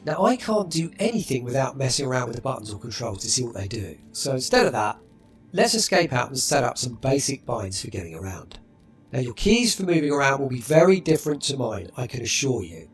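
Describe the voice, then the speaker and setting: A man narrating a game tutorial in a relaxed, explanatory way. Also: mid-range voice, fairly quick, faint music behind.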